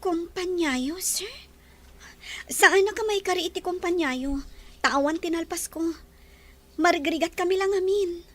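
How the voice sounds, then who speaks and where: Shy young working woman for radio drama, played by an actress: very high voice, humble, hesitant, deferential. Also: measured pace, long pauses. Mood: unsure, surprised. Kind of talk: Ilocano radio drama acting.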